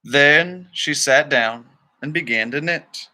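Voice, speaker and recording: A man's voice: fairly high pitch, takes it slowly, sharp tone. A man reading a children's story aloud expressively, with dramatic phrasing and casual asides. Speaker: storybook reader.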